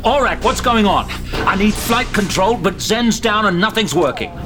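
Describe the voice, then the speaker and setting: An actor playing a harried, sardonic British spaceship pilot in a science fiction audio drama. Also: male voice, high voice, animated, moderate pace, music in the background. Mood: frustrated.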